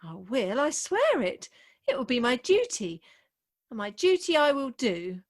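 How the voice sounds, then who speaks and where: A woman playing a prim, excitable young Victorian bride in a comic play, with an English accent and emphatic, theatrical delivery, recorded at home over a video call. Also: sits high, measured pace, exaggerated voice. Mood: earnest. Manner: theatrical, emphatic, indignant propriety. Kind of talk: comic stage-play acting.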